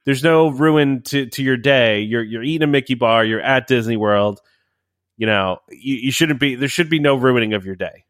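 A man's voice: mid-range voice, normal speed, a bit bright, no echo, clean studio sound. A man in his thirties with a nasal voice and a cold, hosting a casual podcast chat and rambling with lots of fillers and restarts. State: has a cold. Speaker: podcast host.

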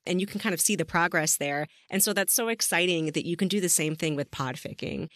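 A woman's voice: on the low side, a bit bright, no echo, clean studio sound. A woman hosting a podcast interview in a casual, effusive and supportive way. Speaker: podcast host.

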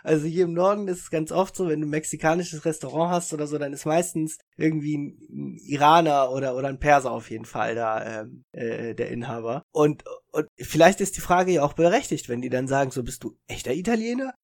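A man's voice: on the high side, a little fast, vocal fry, few pauses. Young guy chatting on a podcast, rambly, lots of ähs, laughs a bunch. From Germany. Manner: rambling, many restarts and fillers, laughs often. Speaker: podcast co-host. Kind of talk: casual chat.

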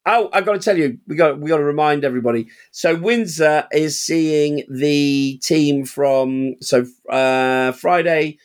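A man chatting on a casual podcast, telling stories from his auction house with lively, animated energy. He acts out the people in his stories, his voice jumping up when he mimics them, and he laughs often. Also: on the high side, a little slow, few pauses. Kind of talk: casual chat.

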